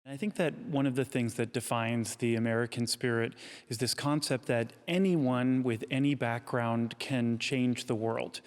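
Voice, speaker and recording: A man speaking deliberately in an interview, with occasional fillers. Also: mid-range voice, fairly even intonation. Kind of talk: interview talk. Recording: long reverb.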